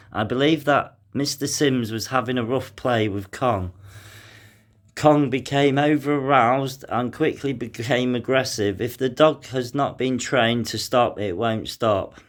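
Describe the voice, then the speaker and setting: A young man commenting on a news article as he reads it aloud, halting often with fillers and sighs. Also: medium pitch. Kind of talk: casual commentary mixed with reading aloud.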